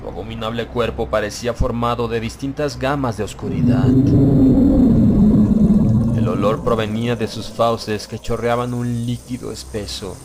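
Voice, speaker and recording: A man's voice: medium pitch, steady tone, moderate pace, very noisy. A man reading a horror audiobook as a dramatic, grave, suspenseful first-person narration. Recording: loud background music under the voice. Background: loud music.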